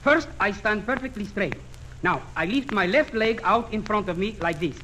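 Eager clownish houseboy, high voice, 1940s radio comedy on a noisy old recording. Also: male voice, moderate pace, pushed and bright. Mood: eager, polite.

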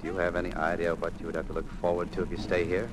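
A man's voice: low voice, monotone, rapid-fire, close to an everyday voice. A man playing a tough, resolute hero, terse and commanding, in 1940s radio drama style. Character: tough, resolute, self-sacrificing American hero. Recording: noisy 1940s radio broadcast recording.

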